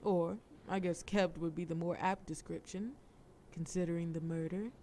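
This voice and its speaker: A woman's voice: on the low side, fairly even intonation, normal speed, dark tone, slight background noise, natural voice. A woman playing a sly, flirtatious mafia boss in an audio roleplay, with a wry, teasing, formal way of talking. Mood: smug, coaxing. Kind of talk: scripted audio roleplay acting.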